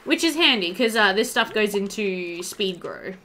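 A woman's voice: mid-range voice, animated, normal speed, sharp tone, a little hiss or hum. A young adult woman giving casual, chatty commentary while playing a video game. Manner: chatty, reads game dialogue aloud playfully. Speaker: gaming video host. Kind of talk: casual let's play commentary. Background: game music.

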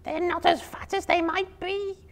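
A malicious storybook spider, screeches in an extremely high, hissing voice. It is hungry and sinister, stretching out its words with menace. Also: male voice, level tone, a little slow, a bit bright, exaggerated voice. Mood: gleeful, scheming. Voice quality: hissing, shrill.

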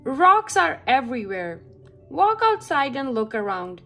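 A woman's voice: high voice, big pitch swings, unhurried, a bit bright, faint music behind. A woman reading a children's science book aloud with careful phrasing meant for young listeners. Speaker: read-aloud reader for children.

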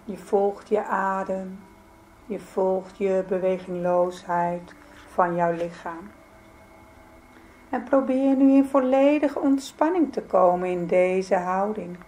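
A woman leading a guided yoga nidra meditation in a soothing, gentle, unhurried manner. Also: mid-range voice, rough recording. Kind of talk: guided meditation, calm spoken instruction.

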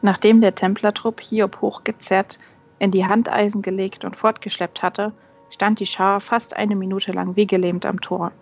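A woman's voice: mid-range voice, flat delivery, normal speed, vocal fry. A woman reading a fantasy novel aloud as scripted audiobook narration. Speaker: audiobook reader. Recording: dull lo-fi sound, music behind. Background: music.

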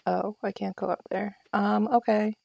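Woman, game streamer. Soft-edged tone with vocal fry, playful, off-the-cuff commentary to herself and viewers. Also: medium pitch, fairly even intonation, normal speed, dry recording, dull audio. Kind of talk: casual live gameplay commentary.

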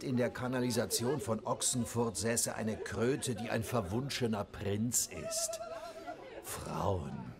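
Languid, swaggering bandit nobleman, swooping pitch. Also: exaggerated voice. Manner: languid, sardonic, capricious. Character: jaded, swaggering male bandit leader and nobleman. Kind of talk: dramatic video game acting.

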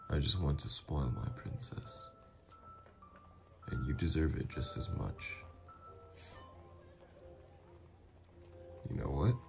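A man acts out a mafia boss doting on his lover in an ASMR audio roleplay. His voice is extremely deep and gravelly, swinging freely up and down as he flirts. He talks in an intimate, teasing, reassuring way, with music playing behind him. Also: a little mellow, full character voice.

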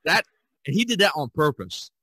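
A man with a bright, forward voice talks casually as a podcast co-host. He tells stories haltingly, circling back and repeating himself, and the sound is close and dry. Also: fairly high pitch, big pitch swings, breathy edge, no echo. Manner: halting, repetitive storyteller. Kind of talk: casual art discussion.

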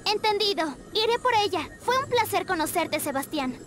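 A woman voicing a helpful, upbeat young mermaid girl in an animated game, polite and earnest. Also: very high-pitched, normal speed, soft background music. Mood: reassuring. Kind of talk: animated video game voice acting.

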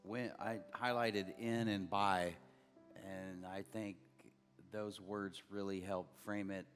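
Earnest pastor on a church stage. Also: male voice, fairly low pitch, fairly even intonation, slow pace. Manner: earnest, building to emphatic bursts. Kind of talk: conversational sermon. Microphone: handheld mic. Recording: long reverb of a church hall, faint music.

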